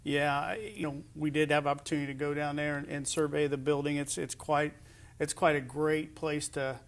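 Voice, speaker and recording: A man's voice: fairly high pitch, flat delivery, moderate pace. A middle-aged American man hosting a conversational sports interview, asking long, somewhat hesitant questions. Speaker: host of a high school sports interview show.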